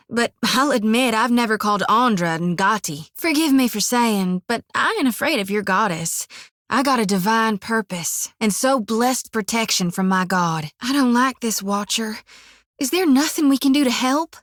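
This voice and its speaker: An actress voicing a devout, spirited young priestess who talks in a folksy drawl full of country slang, for a video game. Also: female voice, on the high side, no room sound. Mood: wary. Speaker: companion character. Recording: dry studio recording.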